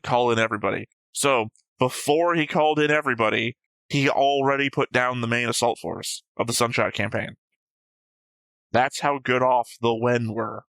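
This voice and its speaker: A man with a bright, sharp voice talks casually as co-host of a discussion show. He is short on sleep, argumentative and often restates his own points. The sound is dry, with little room in it. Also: medium pitch, clear, resonant tone, no echo, poor audio. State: sleep-deprived. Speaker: co-host of an anime reaction show. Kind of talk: casual discussion of episode notes.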